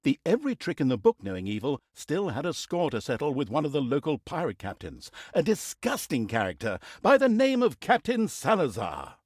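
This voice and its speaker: A man's voice: on the high side, wildly swooping pitch, fairly quick, hardly any pauses, darkish tone, slightly rough, no echo, studio quality, full character voice. A man voicing a wry, theatrical storyteller for a fantasy game, with an arch and droll manner. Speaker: game narrator. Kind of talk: comic fantasy storybook narration.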